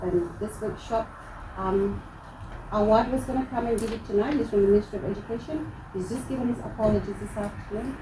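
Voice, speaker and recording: A woman hosting a community workshop, welcoming the group in a friendly way with frequent ums. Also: medium pitch. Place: community hall. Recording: roomy, distant, noisy hall recording.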